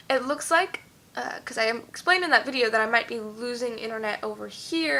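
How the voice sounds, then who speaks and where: A young woman chatting at home in a rambling vlog, full of fillers, her voice a little hoarse. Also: fairly high pitch, pushed and bright. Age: young adult. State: slightly hoarse, losing her voice. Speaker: YouTube singer. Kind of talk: casual vlog chat.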